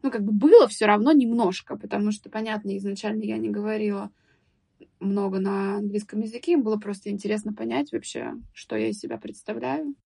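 A young woman guest on a podcast, recalling her school years in a thoughtful, hesitant way with many pauses and restarts. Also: mid-range voice, vocal fry, mellow, husky, no room sound. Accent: Russian speaker living in England. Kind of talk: casual podcast interview.

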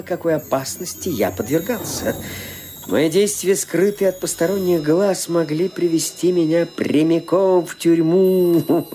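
An actor playing a scheming, nervy young nephew in a radio play, outwardly gentle but secretly smug, with theatrical exclamations. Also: male voice, fairly high pitch, lightly acted. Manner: theatrical, exclaiming, repeating words.

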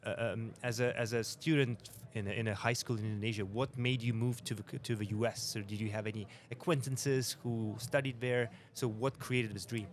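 A man's voice: medium pitch, steady tone, brisk, few pauses. A man hosting a podcast at a forum, asking long questions full of restarts and fillers. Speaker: podcast host. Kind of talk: interview hosting. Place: conference forum venue.

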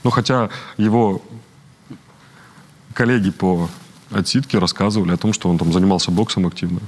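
A man in his thirties from Ukraine speaks in a low, steady register. He talks earnestly, often halting and restarting his sentences as he answers an interviewer's questions. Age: 30s. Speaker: guest. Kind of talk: interview conversation.

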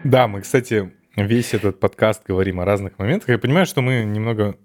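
A man's voice: fairly low pitch, a little fast, faint music behind. A young man co-hosting a podcast, giving earnest, emphatic advice in casual chat. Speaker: podcast co-host.

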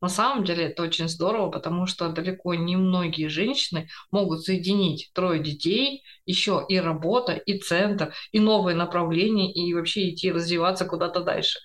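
A woman hosting a podcast, presenting and putting questions to a guest in an interview. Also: on the low side. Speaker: podcast host.

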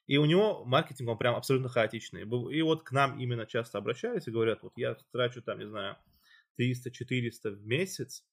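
Husky man explaining casually. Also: mid-range voice, expressive, quick pace. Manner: loose, explanatory, many fillers. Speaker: podcast host. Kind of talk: casual chat.

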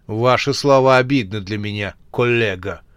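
A man's voice: on the low side, moderate pace, fairly bright tone, clean tone, no echo, close to an everyday voice. Man playing a cheeky young crook, dry sound. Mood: mock-refined. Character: cheeky younger male bandit, mock-refined then street slang.

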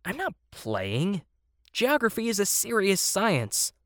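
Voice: medium pitch, wildly swooping pitch, slow, fairly bright tone, no echo, clean studio sound, voice pushed up, full character voice. A storyteller voicing a self-important child who plays at being an explorer, speaking emphatically in a children's story. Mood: irritated, insistent. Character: earnest, bossy child explorer playing geographer.

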